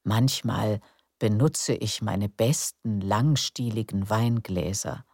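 A woman narrating a calm bedtime story in a slow, soft, soothing way. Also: very deep voice, no room sound, studio quality.

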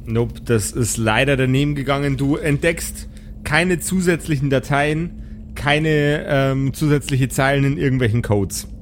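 Unhurried man as game master. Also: mid-range voice, a little hiss or hum. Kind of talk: improvised tabletop role-play narration, casual banter.

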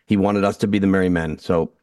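A man's voice: low voice, steady tone, fast, a little mellow, dry recording, clean studio sound, recorded from a distance. A man in his fifties, a guest actor, telling easygoing, self-deprecating anecdotes in a casual interview, often restarting his sentences. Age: 50s.

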